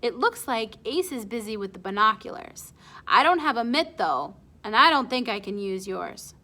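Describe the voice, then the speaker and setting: A woman's voice: fairly high pitch, big pitch swings, normal speed, pushed and bright, heavily acted. A woman voicing a sly, breezily cheerful young girl with a sing-songy, needling way of talking in a storybook. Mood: breezy, cheerful.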